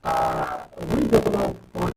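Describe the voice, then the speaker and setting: A man's voice: fairly high pitch, wildly swooping pitch, a little slow, creaky, loud music behind the voice. A man hosting a live discussion, interjecting deferentially as he moderates. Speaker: host. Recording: noisy, distant mic with clicks.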